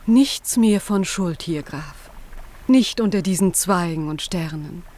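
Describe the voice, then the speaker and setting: A woman's voice: on the low side, lively intonation, slow, dark tone. A woman playing a tender young mother, speaking with exalted emotion in 1950s German radio drama style. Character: tender, rapturous young mother saved from death.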